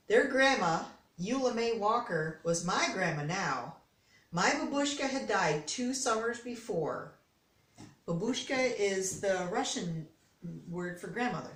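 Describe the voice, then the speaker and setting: An older woman with an American accent reads a picture book aloud. Her voice sits at a medium pitch, expressive and conversational. The sound is echoey and a little distant from the microphone. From Detroit, United States. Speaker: storybook reader. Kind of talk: read-aloud of a children's picture book with casual asides.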